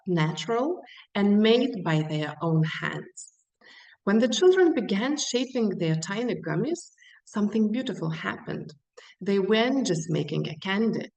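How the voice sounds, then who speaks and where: A woman with a Lithuanian accent gives a prepared webinar presentation, speaking in an unhurried storytelling way. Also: medium pitch, a little mellow. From central Lithuania. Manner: unhurried, storytelling with rhetorical questions. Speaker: small hospitality business owner, guest speaker. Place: online webinar, echoey room. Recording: roomy echo, distant microphone.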